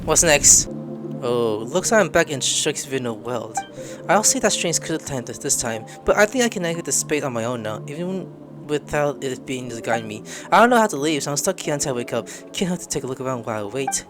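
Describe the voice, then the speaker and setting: Excitable let's-play host, very animated pitch, talking to self over prominent game music. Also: fairly quick. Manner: excitable, exclamatory, mutters to self. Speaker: gameplay video host. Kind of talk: let's-play commentary, reacting and reading dialogue aloud.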